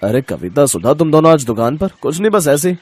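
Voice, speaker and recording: A man's voice: a little high, sing-song, a little fast, a little mellow, husky. A man playing a jealous, greedy shopkeeper brother-in-law in a moral story cartoon, grumbling and justifying himself. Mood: casual.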